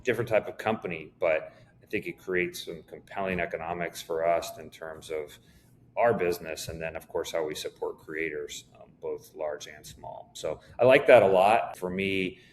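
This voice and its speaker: Really deep voiced exec guy explaining his business in an interview, some faint noise behind him. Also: moderate pace. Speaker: interview guest, company executive.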